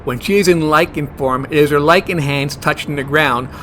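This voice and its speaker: A man's voice: fairly high pitch, moderate pace, a bit bright, slight background noise, lightly acted. A man acting a fussy, stubborn monster hunter in a comedy horror audio drama, earnest and argumentative. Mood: insistent. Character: fussy germ-phobic monster hunter, male, stubborn and smooth-talking.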